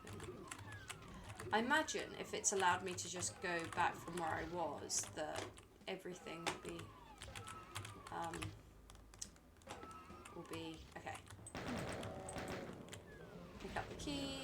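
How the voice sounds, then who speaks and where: A woman's voice: on the low side, animated, measured pace, pauses often, heavy background noise. A British woman streaming a game, talking to herself and chatting to viewers in a dry, rambling way. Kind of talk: live gameplay commentary.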